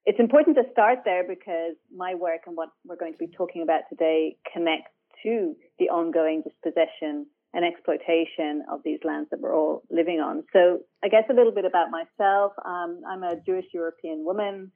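A woman who grew up in Ireland, an academic guest explaining ideas in a measured, thoughtful way on a radio interview. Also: lowish voice, fairly even intonation. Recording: phone-line remote interview.

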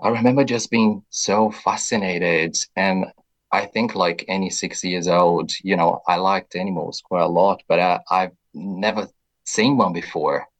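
A deep-voiced man with a Brazilian accent talks through his answers slowly and thoughtfully. He pauses on soft fillers as he gathers each thought. This is a relaxed radio interview guest. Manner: reflective and measured, many soft fillers.

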